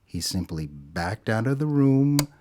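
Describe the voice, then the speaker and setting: A man narrating a scripted story in a dramatic, deliberate storytelling manner. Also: fairly low pitch, big pitch swings, darkish tone, slight background noise.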